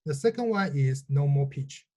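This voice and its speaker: A man presenting research in a scripted technical talk, reading phrase by phrase in a halting way. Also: a little high, expressive, background noise.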